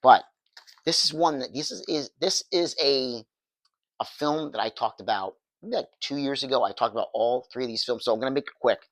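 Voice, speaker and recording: A man with a hoarse, worn voice after being sick, chatting casually and enthusiastically with lots of restarts. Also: mid-range voice, a little fast, fairly bright tone, no echo. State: losing his voice, recovering from illness. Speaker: movie reviewer. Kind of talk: casual chat to camera.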